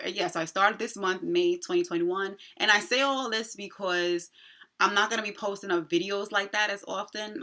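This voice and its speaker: A woman in her thirties from Long Island with a New York accent, chatting casually to camera as a nurse practitioner vlogger, expressive and full of fillers and playful asides. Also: on the low side. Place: small indoor room in front of a pink backdrop. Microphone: camera in front, head-and-shoulders framing.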